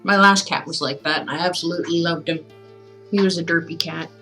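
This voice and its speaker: A woman's voice: fairly low pitch, soft background music. A woman hosting a livestream, reading stories aloud expressively and chatting casually in between. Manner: measured, expressive reading; playful, chatty asides. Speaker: livestream host reading classic tales aloud. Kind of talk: story reading aloud with casual chat asides.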